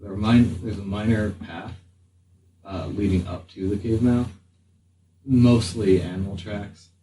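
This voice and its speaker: A man acting as game master, narrating a tabletop game in an improvised, halting way as he thinks aloud. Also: lowish voice, steady tone, mellow. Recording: slightly distant mic, faint room noise.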